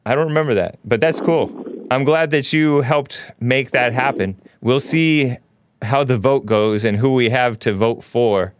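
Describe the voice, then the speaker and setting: A man's voice: mid-range voice, clear, resonant tone, no room sound. A man hosting a casual call-in show, musing aloud in a laid-back way and chuckling often. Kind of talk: casual call-in radio chat.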